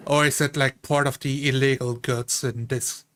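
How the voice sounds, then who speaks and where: Mid-pitched man playing a tabletop game. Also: little pitch movement, normal speed, fairly bright tone. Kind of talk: casual roleplay narration.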